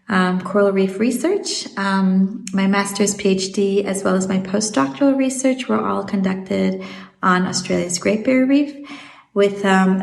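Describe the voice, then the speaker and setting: A woman in her thirties with an American accent, a marine biologist explaining things in an interview, measured and full of "um" fillers. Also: mid-range voice, creaky, echoey room. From Swiss South African.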